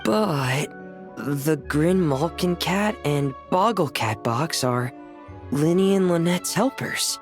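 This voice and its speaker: Gentle earnest young woman, lively pitch, faint music. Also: slow pace. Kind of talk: video game voice acting. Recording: soft game music behind.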